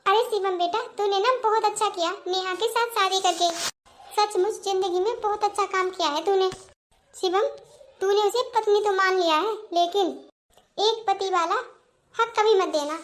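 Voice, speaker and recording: A woman's voice: very high voice, moderate pace, fairly bright tone. A woman voicing a bossy, scheming middle-aged mother-in-law in a family drama. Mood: scolding. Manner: scolding, bossy.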